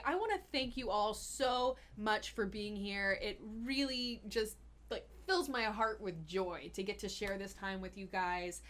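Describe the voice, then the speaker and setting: A woman's voice: medium pitch, fairly bright tone. A young woman hosting a casual live-stream Q&A as a health coach, chatty and giggly with lots of fillers. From Austin, Texas.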